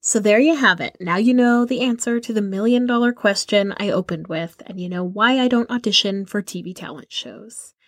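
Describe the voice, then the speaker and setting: A woman's voice: medium pitch, normal speed, hardly any pauses, dry recording, clean studio sound. A young woman hosting a podcast, telling a personal story in an emphatic way and calling listeners "y'all". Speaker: podcast host and musician. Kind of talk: reflective podcast monologue.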